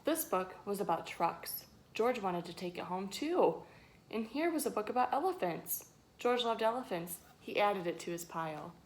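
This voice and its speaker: A woman reads a picture book aloud in a teacher's storytelling style for young children. Her voice sits at a medium pitch and she is expressive, addressing the listeners directly. There is faint noise behind her in the recording. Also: normal speed.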